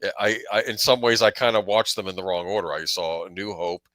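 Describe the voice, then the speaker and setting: Bright, low-pitched middle-aged man chatting. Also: a little fast, no room sound. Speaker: panel guest. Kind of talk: casual reminiscing chat.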